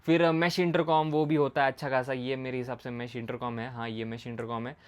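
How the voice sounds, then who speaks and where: A man's voice: medium pitch, level tone, fairly quick, clear, resonant tone. A man giving a casual product explainer in a conversational way, mixing in English words and repeating his points. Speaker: motorcycle gear reviewer and video host.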